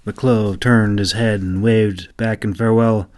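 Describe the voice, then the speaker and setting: A man's voice: fairly low pitch, fairly even intonation, unhurried, a little mellow. A man who narrates his own audiobooks, speaking informally as an independent storyteller at a microphone. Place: mostly quiet room at home. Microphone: solo home microphone.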